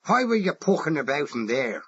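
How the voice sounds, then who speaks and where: A man voicing a stocky middle-aged Irish foreman with a deep, rough, no-nonsense voice. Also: sing-song, normal speed, dry recording. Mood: gruff suspicious. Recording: dull lo-fi recording.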